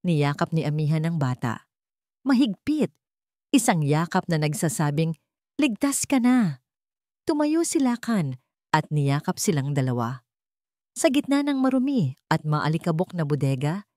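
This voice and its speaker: A woman reads a dramatic story in a fairly low voice that swings widely in pitch. She is an emotive, solemn storyteller, recorded dry and close. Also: warm tone, no room sound. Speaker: audio drama narrator. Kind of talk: dramatic scripted story narration.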